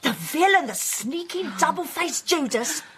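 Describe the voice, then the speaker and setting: Shrill, swooping British matriarch for period radio comedy: middle-aged, domineering, indignant, declamatory. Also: female voice, lively intonation, a little slow, a bit bright, a little hiss or hum. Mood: accusing, indignant. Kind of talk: period comic radio drama acting.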